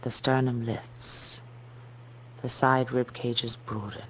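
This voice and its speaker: A woman yoga teacher guiding a meditation in a slow, soft, calming and measured way. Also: rumbling low. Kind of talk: guided meditation instruction. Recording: dull muffled recording with noise and hum.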